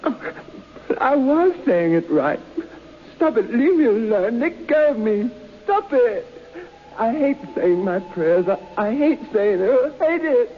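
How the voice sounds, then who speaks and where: A high-voiced, childlike madman. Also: very animated, takes it slowly, full character voice. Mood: tearful protest. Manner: childlike, plaintive, repetitive. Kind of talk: radio horror play acting. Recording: muffled, faintly hissy vintage radio recording.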